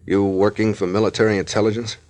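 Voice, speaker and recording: An actor playing a tough, curt army captain who gives clipped orders in 1940s radio drama style. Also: male voice, on the low side, little pitch movement, quick pace, a little mellow, lightly acted. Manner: clipped, commanding. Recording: scratchy 1940s radio recording.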